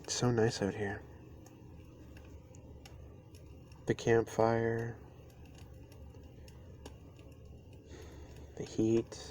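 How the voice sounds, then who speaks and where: A man playing a caring, easygoing best friend in an intimate roleplay audio, speaking softly and haltingly to the listener. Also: on the low side, close to an everyday voice. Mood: content, tender. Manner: halting, unhurried, gentle. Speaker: amateur audio roleplay performer. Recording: steady ambient noise behind voice. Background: campfire ambience.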